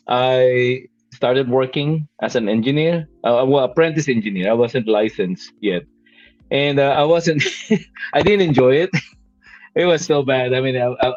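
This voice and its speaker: A man's voice: medium pitch, no echo. A Filipino-accented man in his fifties, an animation artist answering interview questions hesitantly, with many fillers and restarts. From Philippines. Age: 50s. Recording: dull lo-fi dry sound.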